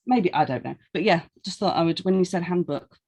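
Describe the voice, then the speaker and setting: Lady co-host, kinda low voice, jokey and giggly, reads out questions. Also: darkish tone, husky. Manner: playful, quick to laugh, reads questions aloud. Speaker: co-host reading listener questions. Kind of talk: casual conversational chat.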